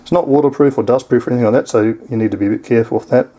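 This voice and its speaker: A man's voice: mid-range voice, rapid-fire, warm tone. A man with an Australian accent giving a casual, unscripted product demonstration in an easygoing, explanatory way. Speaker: product reviewer.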